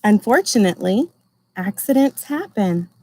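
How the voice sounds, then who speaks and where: A woman voicing a gentle, anxious but determined egg, telling its own story in measured, expressive storybook narration. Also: medium pitch. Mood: nervous. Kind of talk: first-person storybook narration.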